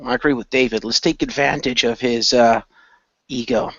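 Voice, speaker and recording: A man's voice: mid-range voice, steady tone, moderate pace, a bit bright, no echo, dull audio. A man voicing a dragon hero in an amateur radio play. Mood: resolved. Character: male dragon hero with a tail, excitable.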